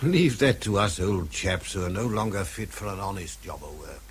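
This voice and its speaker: Kindly old British chief, husky and animated. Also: male voice, low voice, normal speed. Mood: genial, reassuring. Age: older. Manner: genial, fatherly, reassuring. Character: kindly, avuncular old outgoing section chief. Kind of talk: British radio drama acting.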